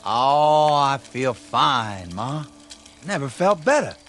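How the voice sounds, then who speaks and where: An actor playing a polite, confident young farm son with a rural Southern American accent in a radio drama. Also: male voice, mid-range voice, big pitch swings, slow pace, fairly bright tone, heavily acted. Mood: cheerful, stubborn.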